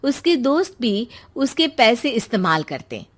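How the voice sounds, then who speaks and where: A woman giving scripted narration for an animated moral story. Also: a little high, a little slow.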